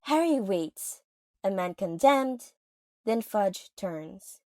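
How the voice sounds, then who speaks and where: A woman's voice: medium pitch, expressive, slow pace, darkish tone, no echo. Woman narrator with a mid-range voice. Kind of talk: scripted dramatic narration.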